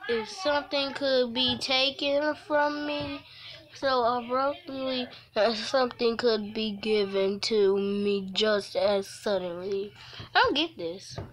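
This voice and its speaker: A woman livestreamer reading a visual novel aloud, haltingly, breaking off for casual offhand asides. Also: a little high. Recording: noisy mobile stream with clicks.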